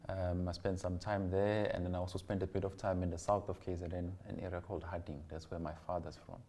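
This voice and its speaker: A man's voice: sits low, little pitch movement, fast, warm tone. A young man with a South African accent, an interview guest casually telling his own story. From Durban, South Africa. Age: young adult. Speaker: interview guest, finance executive.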